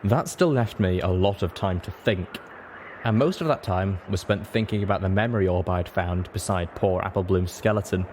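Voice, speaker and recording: A man's voice: low-pitched, moderate pace, a little mellow. A man narrating a first-person story from a script as an audiobook reader. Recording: echoey room with clear background noise.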